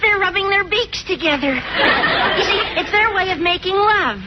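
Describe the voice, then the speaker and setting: An earnest, excitable teenage schoolboy with an extremely high, squeaky voice. He talks in florid 1940s radio comedy style, on a dull, noisy old recording. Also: normal speed, a loud crowd. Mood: eager, scheming. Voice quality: squeaky adolescent voice. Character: eager, squeaky, lovesick-matchmaking teenage schoolboy. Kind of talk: 1940s radio sitcom acting.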